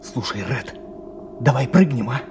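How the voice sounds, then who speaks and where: A lively, swooping male voice of an actor plays a nervous junior partner in a radio drama. He is eager and deferential, with mid-range pitch, over a noisy ambient background. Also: unhurried, close to an everyday voice. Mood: nervous, pleading. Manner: excitable, questioning, deferential. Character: nervous, eager younger male companion on a dangerous expedition. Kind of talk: 1990 radio drama acting.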